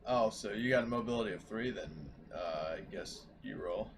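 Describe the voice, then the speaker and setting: A man's voice: lowish voice, moderate pace. A man talking casually as a player in a tabletop roleplaying game. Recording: clearly noisy background.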